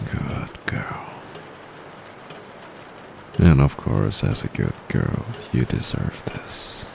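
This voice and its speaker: A man's voice: sing-song, heavily acted. A man with an Indonesian accent playing a seductive, dominant private tutor in an intimate ASMR roleplay, murmuring and whispering smugly close to the microphone. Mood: commanding, seductive. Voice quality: very deep, gravelly, breathy murmur. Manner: slow, teasing, drawn-out delivery slipping into whispers. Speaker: amateur voice actor. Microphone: close condenser microphone. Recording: close mic with clear background noise.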